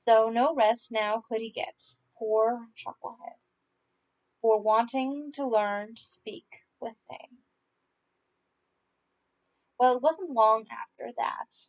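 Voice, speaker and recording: A woman hosting a story podcast, reading folk tales aloud with informal asides and stumbling haltingly over unfamiliar words. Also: a little high, steady tone, lo-fi sound. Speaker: podcast host and story reader.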